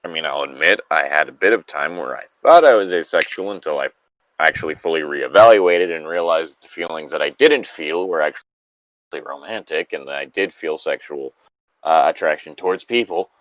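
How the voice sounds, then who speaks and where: A man's voice: a bass voice, moderate pace, a bit bright, no echo, highs cut off. A man co-hosting a read-aloud with casual, sweary, self-deprecating commentary. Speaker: co-host reading a story aloud.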